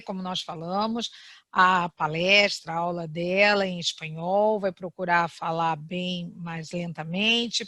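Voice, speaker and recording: Female course host, Brazilian accent, bright forward voice, dry sound, courteous conversational delivery. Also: mid-range voice, no echo. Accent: Brazilian Portuguese. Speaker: course host introducing a guest lecturer. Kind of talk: welcoming introduction to an online class.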